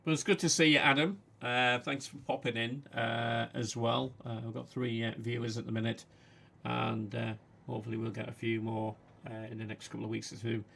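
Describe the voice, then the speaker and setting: A man with a Manchester accent chats casually on a livestream, his voice gravelly and fairly flat. He stops and starts, filling gaps with "uh" as he talks. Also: medium pitch. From England. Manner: halting, frequent "uh" fillers. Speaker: livestream host.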